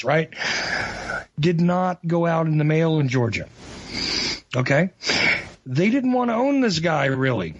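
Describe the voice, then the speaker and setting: A man hosting talk radio, speaking emphatically and confidingly with a husky voice. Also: on the high side, dry recording. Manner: emphatic, confiding, laughs while talking. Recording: dull lo-fi radio audio.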